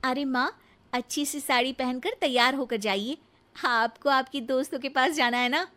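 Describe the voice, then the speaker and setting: A woman puts on a young Indian daughter-in-law in a family moral tale. Her voice is very high, and she is caring and encouraging, coaxing her elder with respect. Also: steady tone, moderate pace, bright, forceful tone. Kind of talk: acted dialogue in a comic moral story.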